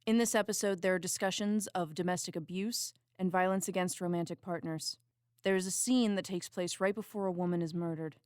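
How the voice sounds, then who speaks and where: Female announcer for content advisory: clear smooth tone, slightly low, careful scripted read, dry sound. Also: fairly even intonation, fairly quick, a little mellow, dry recording. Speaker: announcer reading content warning.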